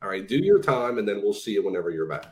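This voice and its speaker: A low-voiced man co-hosting a casual wrestling chat, stumbling over repeated words before he gets going. His voice echoes around the room. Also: very animated, quick pace, darkish tone, slight background noise. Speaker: podcast co-host.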